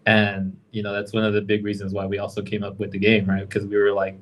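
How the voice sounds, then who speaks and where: An easygoing man with a fairly low voice, chatting as a guest on a casual podcast. He is from Los Angeles and talks through his ideas reflectively, often tagging on "right?" and "you know". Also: monotone, fast talker. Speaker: guest game creator.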